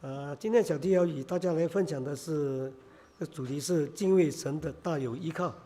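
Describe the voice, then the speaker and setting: A man preaching a sermon in Chinese, hoarse from a cold, halting with many filler sounds. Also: fairly high pitch, warm tone.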